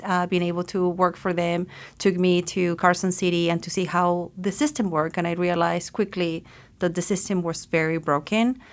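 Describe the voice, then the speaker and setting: A woman from Chile in her thirties, speaking as a guest on an interview podcast with emphatic passion. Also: lowish voice. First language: Spanish. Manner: passionate, emphatic, with some restarts.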